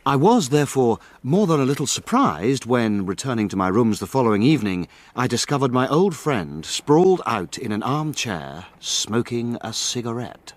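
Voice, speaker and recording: An actor playing a fussy, loyal gentleman in a period radio drama, with formal and proper phrasing and an easily flustered manner. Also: medium pitch, very animated, moderate pace, few pauses, natural voice. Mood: measured narration. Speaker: narrator and character.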